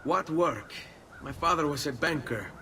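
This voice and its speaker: A man's voice: fairly high pitch, animated, unhurried, pushed and bright, slight background noise. An actor playing a grieving, resolute young Italian nobleman in a video game, speaking with an Italian accent in an earnest, restrained way. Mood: guarded, puzzled. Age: young adult. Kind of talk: video game cutscene acting.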